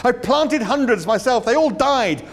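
A man's voice: high-pitched, very animated, pitched above the performer's own voice. A man voicing an anxious, flustered castaway who stammers and hunts for words. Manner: halting, stammers and searches for words.

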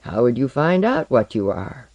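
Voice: medium pitch, wildly swooping pitch, moderate pace, darkish tone, no room sound, highs cut off. A reader voicing a mild but sour, short-tempered mentor with a dry, matter-of-fact manner. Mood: mild, offhand.

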